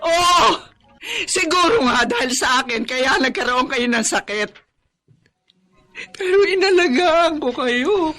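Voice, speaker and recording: A woman's voice: high voice, animated, takes it slowly, long pauses, sharp tone, soft background music, full character voice. An actress with a Filipino accent playing a protective, tearful, pleading mother in a radio drama. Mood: desperate, wailing. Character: anguished, protective Filipina mother of sick sons. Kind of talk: Tagalog radio drama acting.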